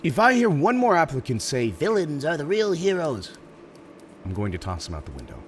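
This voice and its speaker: A man's voice: a little high, wildly swooping pitch, moderate pace, slight background noise. A man playing a theatrical, polite but exasperated supervillain interviewer in a roleplay audio drama, hesitant and mock-professional, prone to chuckling. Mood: amused, businesslike.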